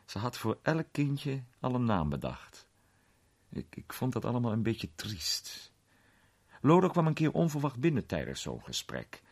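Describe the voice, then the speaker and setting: A man playing a worldly film actor in a radio drama, recounting an unsettling memory in a conversational, sometimes hesitant, theatrical way with a husky voice. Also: mid-range voice, huge pitch swings, a little slow, full character voice. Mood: wistful. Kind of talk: radio drama storytelling in character.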